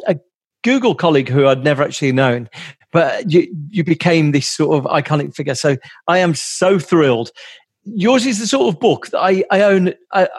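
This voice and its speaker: A man's voice: a little high, slightly rough, no echo, studio quality. A man hosting a podcast, introducing and interviewing a guest in an enthusiastic way with occasional repeats. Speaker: podcast host.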